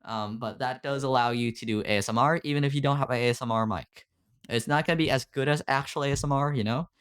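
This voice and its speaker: A man's voice: medium pitch, fast, a bit bright, smooth. A man mentoring on a call, walking through his audio setup in a loose, filler-heavy way and asking "right?" after nearly every point, speaking into a desktop condenser mic at home. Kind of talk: casual screen-share tutorial on a call. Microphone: desktop USB condenser mic.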